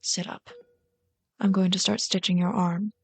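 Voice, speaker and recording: A woman's voice: on the low side, level tone, unhurried, creaky, breathy edge, full character voice. A woman playing a bitter but caring villain queen in an ASMR roleplay, scolding and sardonic, often exasperated. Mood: firm, clinical. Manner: scolding, sardonic, exasperated, dropping to hushed asides. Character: bitter, caring female villain rat queen tending a captive sidekick. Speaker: voice actor in an audio roleplay.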